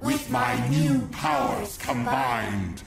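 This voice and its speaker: A man's voice: medium pitch, very slow, gravelly, over a noisy crowd, heavily acted. A man voicing an arrogant, menacing alien conqueror in a video game cutscene, pompous and gloating. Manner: pompous, gloating villain proclamations. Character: menacing, arrogant alien starfish conqueror bent on ruling the universe. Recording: echoing voice under loud music.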